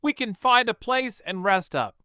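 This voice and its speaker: A man's voice: very high voice, lively intonation, a little slow, sharp tone, dry recording, clean studio sound, pitched above the performer's own voice, full character voice. A man voicing an earnest, nervous teenage boy with a slightly self-mocking way of talking. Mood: concerned.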